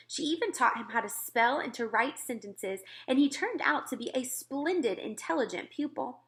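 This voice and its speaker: A woman reading a children's book aloud as scripted narration, expressive and clearly phrased. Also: on the high side. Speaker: storybook reader.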